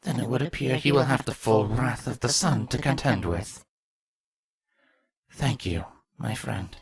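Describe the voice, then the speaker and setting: An actor playing a regal changeling queen in an audio fan fiction drama, husky and slow. She speaks with grave deliberation, a grieving mother with vengeance in her. The sound is close and dry. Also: mid-range voice, no room sound, full character voice. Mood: cold vengeance.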